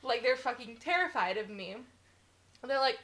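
A woman's voice: fairly high pitch, lively intonation, moderate pace, fairly bright tone. A woman in her twenties co-hosting a podcast in casual chat, blunt and swearing freely, acting out other people's lines. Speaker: podcast co-host.

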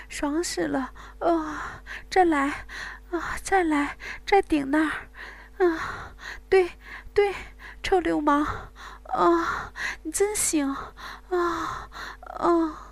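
A woman voicing a passionate adult woman in an intimate scene, with breathy, high moaning cries. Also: no room sound, exaggerated voice.

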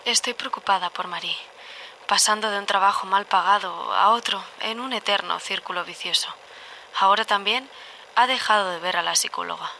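A woman playing a troubled, observant diarist in an audio drama monologue, measured and confessional. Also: medium pitch, vocal fry, noisy background, lightly acted. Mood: worried, reflective.

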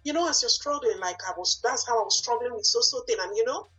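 A woman from Nigeria with a Nigerian accent, whose first language is not English, answering interview questions and often pausing and restarting to find her words. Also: on the high side, expressive, bright, forceful tone, breathy edge, faint music behind. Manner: searching for words, frequent fillers and restarts. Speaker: guest, lawyer and business owner.

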